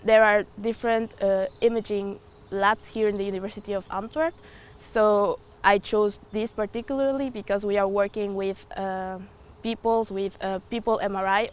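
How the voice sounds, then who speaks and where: A woman's voice: mid-range voice, fairly even intonation, moderate pace. Woman in her twenties, hesitant. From Ecuador. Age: 20s. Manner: thoughtful, hesitant with frequent fillers. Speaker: student. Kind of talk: interview answers.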